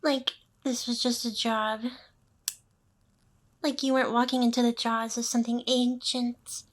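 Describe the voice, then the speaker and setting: A woman voicing a smug, possessive ancient demoness boss in an intimate, seductive ASMR roleplay, with teasing pauses. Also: a little high, flat delivery.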